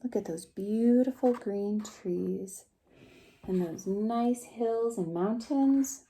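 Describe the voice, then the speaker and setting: A woman reading a picture book aloud to young children in an expressive, gentle way, pausing to ask them questions. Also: medium pitch. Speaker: preschool story time reader.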